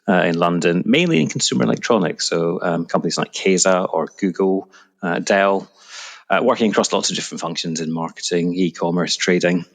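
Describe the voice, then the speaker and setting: A middle-aged man with a Scottish accent and a very low voice full of creak. He speaks conversationally, as a business executive being interviewed. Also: brisk. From Edinburgh, Scotland. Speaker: retail executive, interview guest.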